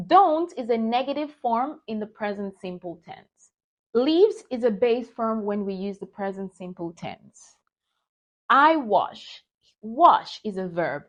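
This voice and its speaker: A young adult woman whose first language is Yoruba, teaching a beginner English grammar lesson slowly and carefully. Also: mid-range voice. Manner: slow, careful, reads example sentences deliberately.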